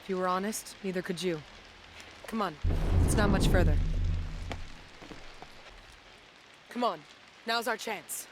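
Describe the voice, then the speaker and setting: A woman's voice: medium pitch, moderate pace, background noise, close to an everyday voice. An actress playing a determined, guarded rebel woman soldier in a video game cutscene, speaking earnestly and with command. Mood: firm, persuasive. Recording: loud music bed.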